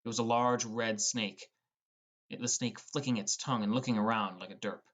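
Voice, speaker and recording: A man's voice: lowish voice, fairly even intonation, moderate pace, a bit bright. A man reading scripted first-person narration as the narrator of an audiobook.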